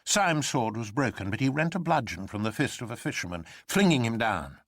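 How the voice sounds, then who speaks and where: A man reads literary fiction as a dramatic narrator. His pitch moves a great deal and his delivery is theatrical. The recording is dry, with no room around the voice. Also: brisk, no room sound. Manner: theatrical, expressive.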